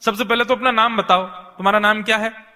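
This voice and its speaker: A man's voice: high voice, fairly even intonation, brisk, pushed and bright. A man voicing a kindly, humble old householder in a devotional story, homely and fond in his way of talking. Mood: kindly, instructing. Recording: long hall reverb.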